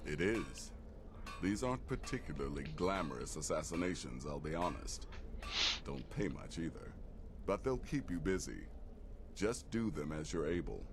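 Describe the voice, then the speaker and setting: An actor playing a cocky male assassin guild leader in a video game, smooth and condescending, with measured delivery. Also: very deep voice, sing-song, background noise, exaggerated voice. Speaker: game character assigning contracts.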